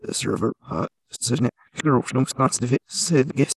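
A man's voice: medium pitch, normal speed, slightly rough, no echo. A young man co-hosting a casual podcast, rambling and correcting himself as he talks. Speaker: podcast co-host. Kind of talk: casual chat.

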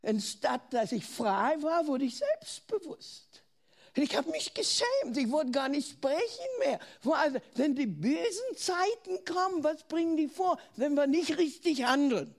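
Older German woman preacher, earnest and questioning, high-pitched, unhurried delivery. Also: big pitch swings. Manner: earnest, asks the listener questions, restarts words. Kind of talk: sermon.

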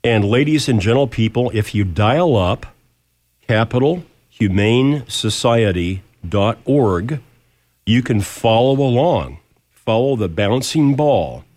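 A man's voice: medium pitch, lively intonation, measured pace, a little mellow. A man hosting a radio show with casual banter and folksy, playful phrasing, on a studio microphone.

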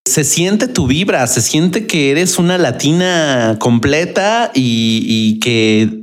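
A man who co-hosts a casual podcast, friendly and quick to laugh. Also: fairly high pitch, expressive, soft background music. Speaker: podcast co-host.

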